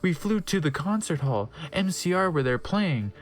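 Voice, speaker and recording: Higher-voiced man doing a sardonic dramatic reading. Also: big pitch swings, normal speed. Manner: sardonic, theatrical, breaks off to comment. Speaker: dramatic reader of fan fiction. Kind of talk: comedic dramatic reading with asides.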